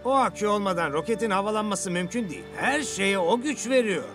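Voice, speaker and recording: A man's voice: high-pitched, a little fast, close to an everyday voice. A man voicing a knowing, matter-of-fact adult railway vehicle in a children's cartoon, instructive and emphatic. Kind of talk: animated children's series dubbing. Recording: music bed under studio voice.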